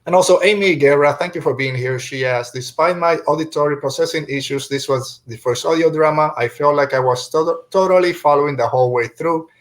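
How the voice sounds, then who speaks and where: A man's voice: on the high side, brisk, few pauses. A man hosting a podcast, talking casually through a review and often rephrasing mid-sentence. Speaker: podcast host.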